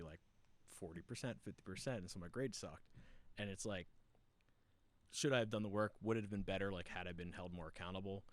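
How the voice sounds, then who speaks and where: A man talking as a podcast guest in casual chat, rambling and reflective. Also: sits low. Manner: rambling, reflective, many fillers.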